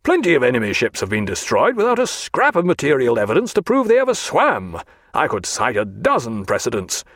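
A man's voice: mid-range voice, huge pitch swings, a little fast, no room sound, studio quality, full character voice. A man playing a stern, indignant sea captain. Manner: stern, forceful. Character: proud angry male naval captain.

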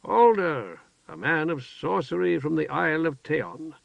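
A man voicing a calm, kind older wizard who speaks softly and plainly. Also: on the high side, a little slow, creaky, dry recording, voice pushed up, exaggerated voice. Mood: gentle. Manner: soft-spoken, warm and plain. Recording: dull lo-fi dry recording.

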